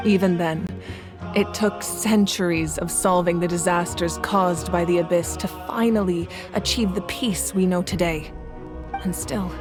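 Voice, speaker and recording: A woman's voice: medium pitch, natural voice. A woman playing a grave, ancient fire ruler. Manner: measured, formal storytelling. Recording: game audio with loud music.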